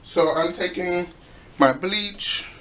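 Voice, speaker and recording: A man's voice: high voice, slow, bright, forceful tone. A young man casually talking through a DIY project in his home bathroom, chatty with playful asides. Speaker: DIY vlogger. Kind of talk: casual how-to vlog. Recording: echoey small room, faint background noise.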